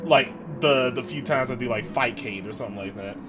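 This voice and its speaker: A man's voice: medium pitch, a little fast, over music. A man streaming and chatting casually with a friend. He laughs often and trails off, restarting his sentences.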